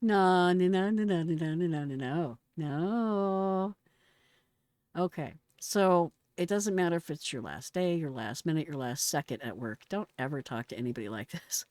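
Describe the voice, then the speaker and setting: A woman's voice: deep voice, lively intonation, moderate pace, a little mellow, no echo. A middle-aged American woman giving casual, blunt and exclamatory reaction commentary in slangy speech. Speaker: retired emergency dispatcher reacting to a call recording.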